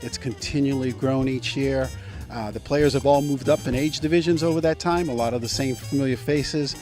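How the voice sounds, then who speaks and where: Mid-pitched man running a senior tennis event. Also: steady tone, moderate pace, a little mellow, faint music behind.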